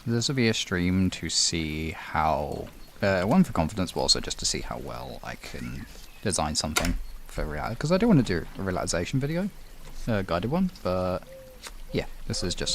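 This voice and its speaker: A man's voice: low-pitched, sing-song, moderate pace, noisy background. A British man chatting casually on a livestream, replying to viewers in an informal, rambling, sweary way. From United Kingdom. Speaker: livestream host.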